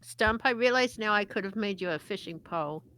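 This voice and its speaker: A woman's voice: medium pitch, animated, a little fast, pushed and bright, a little hiss or hum, poor audio. A woman playing a tabletop game, chatting casually and joking with the group.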